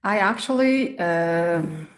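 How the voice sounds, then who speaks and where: A woman's voice: lowish voice, animated. Hesitant woman from Ethiopia asking questions. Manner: hesitant, halting, many fillers. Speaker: caller. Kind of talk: call-in questions.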